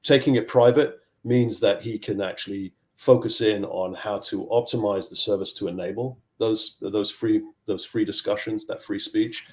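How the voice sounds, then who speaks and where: A man's voice: fairly low pitch, steady tone, normal speed, few pauses. A middle-aged man from Zambia, a tech executive, talking on a video call interview and often repeating words as he searches for phrasing. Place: home office.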